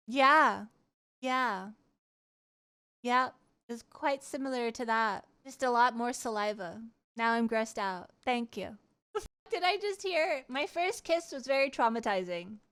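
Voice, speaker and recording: A woman livestreamer casually chatting with her viewers and telling personal stories in an animated, candid, giggly way, swearing freely. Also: a little high, clean tone. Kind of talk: casual chat and personal storytelling.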